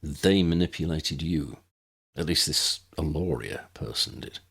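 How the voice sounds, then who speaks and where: Man as formal, wry, husky uncle. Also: rumbling low, fairly bright tone, heavily acted. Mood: reassuring. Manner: measured, wordy, formal. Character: formal, wry, self-doubting adult uncle without magic.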